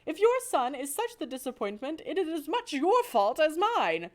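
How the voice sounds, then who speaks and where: A woman's voice: sits high, very animated, a little slow, pitched above the performer's own voice. A woman voicing a haughty, dismissive mother mouse with a mock-French manner. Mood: indignant.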